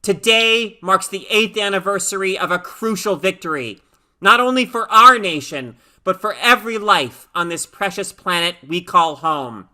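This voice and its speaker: A woman's voice: medium pitch, animated, moderate pace, bright, forceful tone. A woman playing a stern female government leader in a story, giving a solemn, emphatic, declamatory speech. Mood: triumphant, solemn.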